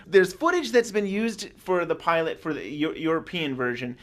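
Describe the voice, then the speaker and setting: A man in his forties co-hosting a casual podcast, an eager fan who talks in quick, enthusiastic bursts. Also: on the high side, lively intonation. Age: 40s. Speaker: podcast co-host.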